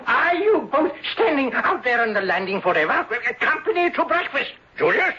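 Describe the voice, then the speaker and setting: A jovial drunkard in a radio drama, his voice high and swooping wildly. He rambles, stammers and loses his thread, on a dull lo-fi recording. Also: big pitch swings, normal speed, bright, forceful tone, exaggerated voice. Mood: drunken, rambling. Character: befuddled, jovial drunkard gentleman in decline. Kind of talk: old-time radio drama acting.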